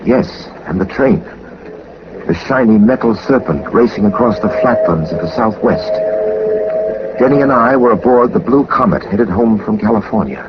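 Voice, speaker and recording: A suave gentleman sleuth played by a man in a 1940s radio play, urbane and self-possessed. His deep voice swings expressively through wordy, theatrical lines. The old recording is dull and plainly noisy. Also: animated, moderate pace, lightly acted. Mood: narrating. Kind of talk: 1940s radio drama acting, first-person narration.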